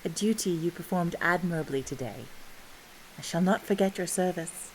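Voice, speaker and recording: A woman playing a gentle, caring princess and wife in an audio drama, speaking softly and tenderly. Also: on the low side, normal speed, lightly acted, low-quality recording. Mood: grateful, earnest. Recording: clearly hissy recording.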